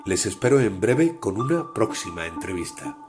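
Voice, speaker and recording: A man's voice: fairly low pitch, very animated, normal speed, over music. A man hosting a scripted interview, putting questions and addressing listeners as presenter. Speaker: interviewer, host.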